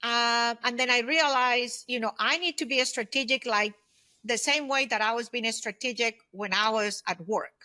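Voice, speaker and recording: A woman in her fifties speaks as a guest in a conversational interview. Her voice sits fairly high and has a clearly bright, forward edge. She makes her points emphatically, often posing questions to herself as she explains her experience. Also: normal speed. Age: 50s. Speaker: guest, solopreneur consultant and former aerospace executive. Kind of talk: conversational interview, sharing personal experience and advice.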